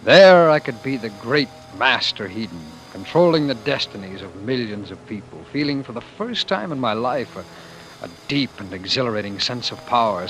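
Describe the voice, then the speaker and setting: An actor playing a meek, dreamy husband who imagines himself a great leader, in earnest, dramatic old-time radio style. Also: male voice, medium pitch, fairly bright tone, close to an everyday voice, low-quality recording. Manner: earnest, dramatic, brooding, hesitant. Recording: vintage radio broadcast with music behind.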